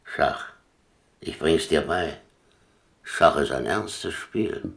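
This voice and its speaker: A jailed German sea captain in a radio drama, with an extremely deep voice. He is blunt and laconic, teasing his cellmates drily and chummily, with a streak of boastful pride. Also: lively intonation, very slow, gravelly, fairly bright tone, full character voice. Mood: chummy, prodding. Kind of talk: German radio play acting.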